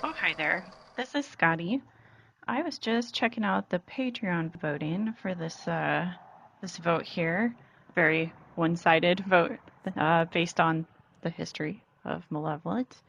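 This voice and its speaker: A woman leaving a casual voice message as a listener, giving relaxed spoken commentary. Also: on the low side, measured pace, vocal fry, a little hiss or hum. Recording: dry, dull lo-fi voice message.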